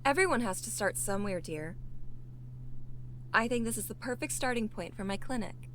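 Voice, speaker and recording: A woman's voice: a little high, animated, moderate pace, a bit bright, a little hiss or hum. An actress playing an idealistic young woman in an audio drama, earnest and tender with a teasing streak. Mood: earnest, warm. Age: young adult. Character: idealistic, playful young woman, hopeful and affectionate.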